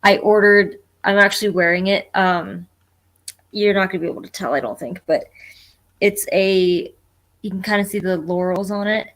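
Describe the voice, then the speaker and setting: A woman's voice: medium pitch, fairly even intonation, moderate pace, fairly bright tone. A woman from Florida co-hosting a casual livestream chat over a video call, chatty and self-deprecating. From Florida, United States.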